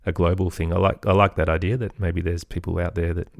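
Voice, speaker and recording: A man with an Australian accent, talking as an interview guest in an agreeable, conversational way with softened phrasing. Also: sits low, little pitch movement, quick pace, creaky, no echo, clean studio sound. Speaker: podcast guest, musician and composer.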